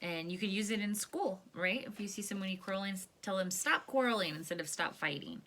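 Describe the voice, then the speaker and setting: A woman's voice: mid-range voice, steady tone, fast, fairly bright tone. A woman reading a picture book aloud to children, instructive and often checking in with questions. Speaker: read-aloud storyteller. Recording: home recording with faint hiss.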